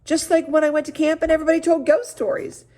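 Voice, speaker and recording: A woman's voice: high voice, flat delivery, fast, mellow, pitched above the performer's own voice, lightly acted. A woman voicing a worried, disbelieving mother in a children's storybook. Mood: alarmed.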